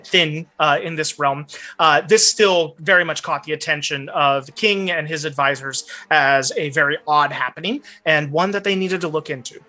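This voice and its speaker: A man narrating a tabletop fantasy game as game master, with deliberate, dramatic phrasing. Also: fairly high pitch, bright, forceful tone. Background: background music.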